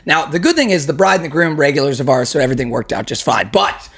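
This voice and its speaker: A man hosting a podcast, his voice hoarse, chatting in an upbeat, mock-grand way with plenty of swearing. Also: fairly high pitch, animated, fast talker, fairly bright tone. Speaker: podcast host. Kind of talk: casual crude banter. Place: room in a house used as studio.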